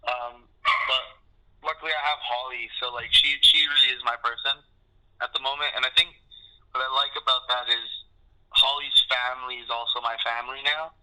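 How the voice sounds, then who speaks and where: A man's voice: medium pitch, steady tone, brisk, sharp tone. A man musician talking casually in a phone interview, rambling and restarting his sentences. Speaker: musician in a band. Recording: phone line.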